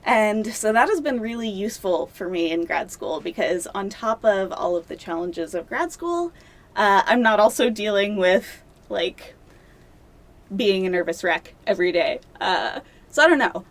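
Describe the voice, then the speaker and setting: A young woman, a doctoral candidate, talking casually in an interview, chatty and self-deprecating with many fillers, seated in a dark studio. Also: medium pitch, animated, normal speed. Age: young adult.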